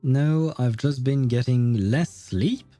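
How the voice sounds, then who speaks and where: Mellow, chatty man livestreaming a game. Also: mid-range voice, slow pace, no echo, soft background music. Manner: chuckles often, rambling and friendly. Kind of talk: casual stream chat and game commentary, reading dialogue aloud.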